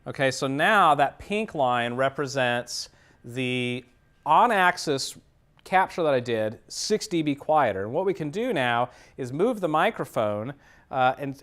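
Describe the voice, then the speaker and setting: A man's voice: medium pitch, a bit bright. A man giving a hands-on demonstration lecture as an instructor, explaining things methodically, step by step. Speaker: audio engineering instructor.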